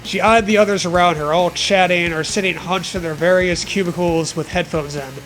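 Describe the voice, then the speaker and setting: High-pitched, sarcastic man. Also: steady tone, a little fast, fairly bright tone, faint music behind, rough recording. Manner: sarcastic, profane. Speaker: comedy show co-host. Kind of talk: mocking read-aloud of fan fiction with banter.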